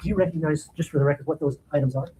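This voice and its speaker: A man examining a witness in a courtroom, speaking haltingly with many pauses and rephrasings. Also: fairly high pitch, noisy background. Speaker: trial attorney.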